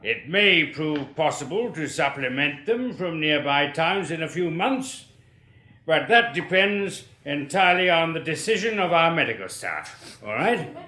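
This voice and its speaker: An actor playing a brisk, officious army colonel, clipped and commanding, giving a briefing in a radio drama. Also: male voice, on the high side, lively intonation, sharp tone. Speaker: colonel giving administrative details. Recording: roomy, echoing hall.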